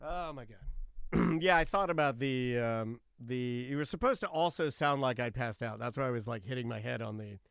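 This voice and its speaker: A man's voice: medium pitch, normal speed, creaky, a bit bright, clear, resonant tone, dry recording. A man co-hosting a radio show, chatting casually with a joking, profane manner. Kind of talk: casual comedic banter with co-hosts.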